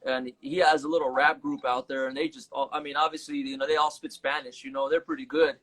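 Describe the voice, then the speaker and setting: A man's voice: mid-range voice, flat delivery, fast talker, fairly bright tone, low-quality recording. A man from Las Vegas, a guest rapper, talking casually in a live-stream interview and mixing Spanish words into laid-back street talk. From Las Vegas, USA. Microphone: phone on a live stream.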